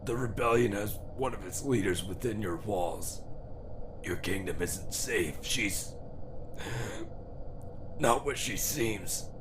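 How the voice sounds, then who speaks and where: A man playing a frightened, desperate prisoner in an audio drama, halting and pleading. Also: lowish voice. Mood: pleading, worn down. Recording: noisy ambience behind the voice.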